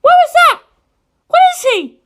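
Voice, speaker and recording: A very high-pitched, emotional young lady. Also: very animated, unhurried, a bit bright, exaggerated voice. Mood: anxious. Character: tearful, determined, excitable young English woman, grieving a loss.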